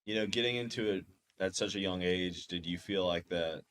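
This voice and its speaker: Deep-voiced male podcast host. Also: normal speed, a bit bright, clear, resonant tone. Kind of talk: casual interview hosting.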